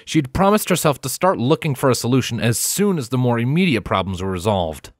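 A man's voice: medium pitch, very animated, a little fast, no echo, studio quality. A man reading a scripted story as its narrator. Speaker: audiobook-style fan fiction narrator.

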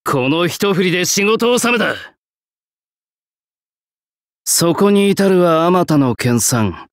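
An actor playing a gruff swordsmith, an old soul in a young man's body, with blunt old-fashioned artisan speech in a game. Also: high-pitched, expressive, a little slow, dry recording, studio quality. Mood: battle-ready, resolute. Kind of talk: Japanese game character voice lines.

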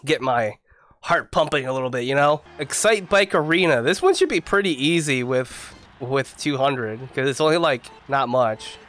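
A young man with a congested voice from the flu, giving casual, excitable commentary as he plays a racing game at home on a desk mic. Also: mid-range voice, normal speed. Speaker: gaming YouTuber. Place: home gaming room. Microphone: desk mic, face camera.

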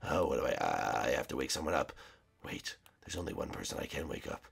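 Guy doing a jumpy stammery young dude, hushed and urgent, voice all over the place. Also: a bass voice, big pitch swings, a little fast, creaky, husky, full character voice. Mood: frantic whisper. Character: panicky young male friend, frantic. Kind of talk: comedic puppet-style skit voices.

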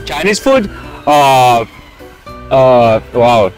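Guy in his 30s, average pitch, short casual street interview answers, bit of music. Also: very animated, very slow. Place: open city square outdoors. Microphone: handheld furry interview mic.

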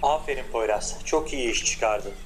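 A confident lead scientist in a children's cartoon, as a man plays him, handing out orders in a firm but warm voice of middle pitch. Soft music plays faintly underneath. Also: normal speed, natural voice. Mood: commanding. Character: confident male lead scientist, the older brother.

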